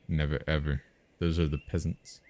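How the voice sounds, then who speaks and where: A man's voice: rumbling low, little pitch movement, moderate pace, darkish tone, slight background noise. A man co-hosting a live stream, chatting casually and reading an interactive story aloud.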